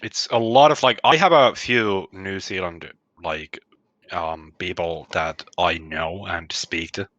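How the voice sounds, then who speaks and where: A man's voice: low-pitched, slow, no room sound, lo-fi sound. A man chatting casually, swearing often and joking darkly. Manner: crude, swearing, dark humor. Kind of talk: casual chat.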